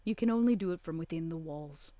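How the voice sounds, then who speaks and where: A woman voicing a composed, sardonic female vampire in a tabletop roleplay, measured and dry-witted. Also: low-pitched, mellow, no room sound, lightly acted. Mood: cool, wry.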